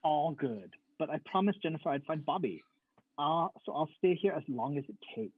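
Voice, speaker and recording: A man's voice: on the high side, animated, normal speed, husky, no echo. A man reading dialogue casually in an amateur group read-through, on a slightly dull microphone. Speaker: reader in an amateur comic dramatic reading.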